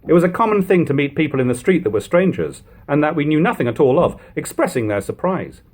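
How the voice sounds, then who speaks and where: A man narrating an eighteenth-century account as a dramatic, expressive audiobook reading. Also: on the high side, fast talker.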